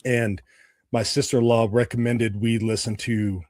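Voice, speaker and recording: A man's voice: medium pitch, little pitch movement, moderate pace, darkish tone, no room sound, studio quality. A middle-aged American man hosting a podcast, casually interviewing a guest and chatting. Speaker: podcast host.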